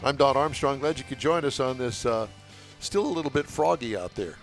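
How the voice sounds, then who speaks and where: A man's voice: mid-range voice, animated, brisk, faint music behind. A man hosting a car talk show, chatting casually with folksy, joking banter into a headset mic. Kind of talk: casual live radio chat. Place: remote show setup at a car event. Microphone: headset microphone.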